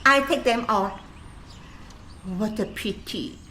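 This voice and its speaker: A fairly high, slow female voice from an actress plays a glamorous Singaporean dancing girl. She is confident and haughty in radio-drama style, over faint ambience. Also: pushed and bright, a little hiss or hum. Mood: smooth, confident. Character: self-assured, glamorous nightclub dancing girl, haughty with rivals. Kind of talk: radio play acting.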